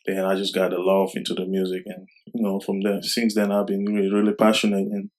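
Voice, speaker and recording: A young man from Cameroon, raised in Germany, speaks as a rapper in a casual interview. His voice sits low and stays flat, with little rise or fall. He talks softly and haltingly, leaning on "you know". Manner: soft-spoken, halting, many fillers like "you know".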